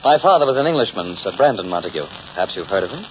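Suave low-voiced gentleman with swooping delivery. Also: sing-song, racing. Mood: courtly, affable. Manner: formal, flowery, over-polite diction. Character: suave, pompous gentleman, courtly and genteel smooth talker. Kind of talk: 1940s-50s radio drama acting. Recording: muffled, hissy old radio recording.

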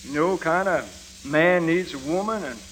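An actor playing a bashful, dim-witted lovesick cowboy with a folksy drawl in a 1940s radio comedy. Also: male voice, a little high, fairly bright tone, natural voice, poor audio. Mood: bashful courting. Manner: slow, rambling, hollering. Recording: old hissy radio recording, audience, music.

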